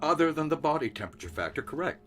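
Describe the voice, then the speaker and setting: Diplomatic male official, higher-pitched, measured, tactful, formal, sci-fi audio drama. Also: big pitch swings, fairly bright tone, soft background music. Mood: careful, measured. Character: composed, diplomatic male official, careful and placating.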